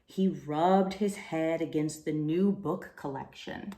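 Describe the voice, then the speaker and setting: A woman reading a children's storybook aloud with expressive, dramatic delivery. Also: sits low, slow pace. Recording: roomy, echoing room sound.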